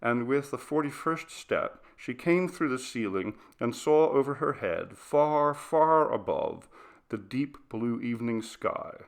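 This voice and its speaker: A man reading a literary ghost story aloud as an audiobook narrator, in a measured, dramatic storytelling manner. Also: mid-range voice, vocal fry.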